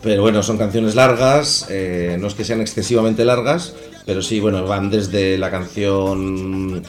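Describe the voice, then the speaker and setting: A man chats in a radio studio as a guest musician. He speaks in a lively, emphatic way. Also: fairly low pitch, a little slow, soft background music. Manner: lively, emphatic, laughs easily. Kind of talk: casual radio interview.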